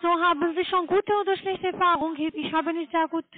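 A woman with a foreign accent giving a halting, formal sales pitch as a telemarketer on the phone. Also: steady tone. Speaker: telemarketing caller, trading broker. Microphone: telephone call. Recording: tinny narrow-band phone line.

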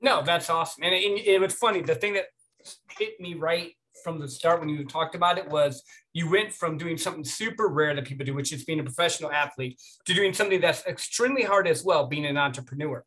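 Bright, higher-pitched man hosting casually. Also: fairly quick, poor audio. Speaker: podcast host. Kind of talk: casual interviewing. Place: small room indoors by a window, on a video call.

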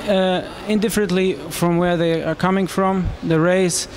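Man, high voice, halting English. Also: steady tone, noisy background. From Romania. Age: 30s. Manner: halting non-native English with frequent restarts and laughs. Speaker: marathon runner interviewee. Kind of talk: casual interview answers. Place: indoor room, small. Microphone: handheld mic.

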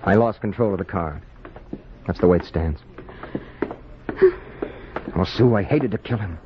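An actor playing a desperate, protective husband on the run in a 1950s radio drama. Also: male voice, deep voice, unhurried. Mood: subdued relief. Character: desperate, protective husband fleeing a future war. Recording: muffled, noisy old radio recording.

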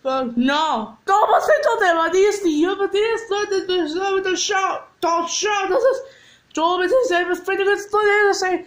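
A woman chatting excitedly while watching a film, exclaiming as she talks. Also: very high voice, fast, roomy sound. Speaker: viewer reacting to a film. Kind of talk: excited casual chat.

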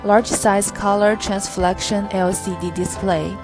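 A woman's voice: medium pitch, level tone, measured pace, music in the background. A woman reading a scripted product tutorial in a stilted, phrase-by-phrase way. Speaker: product demonstrator.

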